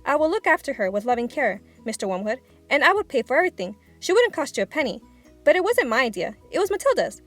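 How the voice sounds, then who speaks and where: A woman voicing a gentle, composed and caring storybook schoolteacher, speaking in a soft, measured way. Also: high-pitched, big pitch swings, soft background music. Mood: earnest.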